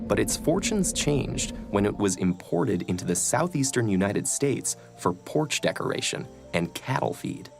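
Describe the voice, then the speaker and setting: Gravelly man narrating over faint music. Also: lowish voice, very animated, measured pace, few pauses. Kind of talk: scripted documentary narration.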